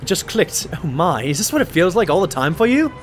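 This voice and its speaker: An actor playing a modest, loyal pony doctor who narrates and talks in an audio drama in an earnest, expressive way. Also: male voice, high-pitched, brisk, faint music behind. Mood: thoughtful, deducing.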